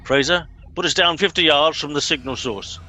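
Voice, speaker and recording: A man's voice: a little high, moderate pace, pushed and bright, faint music behind. A man playing a pompous, officious and easily exasperated captain in a comic radio play. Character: pompous, impatient male captain of bumbling crew.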